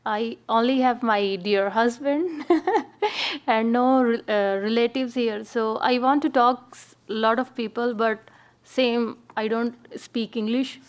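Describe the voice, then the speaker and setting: A woman with an Indian accent, a native Hindi and Punjabi speaker, telling her story on a panel in halting, simple English. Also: a little high, fairly even intonation. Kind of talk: personal account on a panel.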